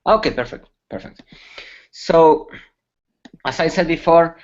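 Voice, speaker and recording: A man's voice: fairly high pitch, measured pace, darkish tone. Man, Spanish accent, webcast presenter, lots of fillers, muffled lo-fi call audio. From Spain. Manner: hesitant, many fillers and restarts, occasional laughs. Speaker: security conference speaker. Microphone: computer mic on a video call.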